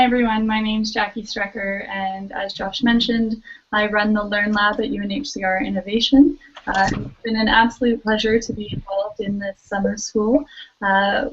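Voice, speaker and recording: Woman program head, fluent, even and unvaried delivery. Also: medium pitch, normal speed. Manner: fluent, gracious. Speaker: lab head. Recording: slightly muffled recording.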